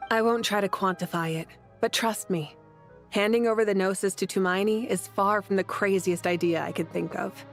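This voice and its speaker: A woman acts a self-assured goddess who leads her nation in a video game. She talks in an easygoing, teasing way at a middle pitch, with music playing behind her. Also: moderate pace, close to an everyday voice. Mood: sincere, assured. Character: confident easygoing female leader god of a fiery nation.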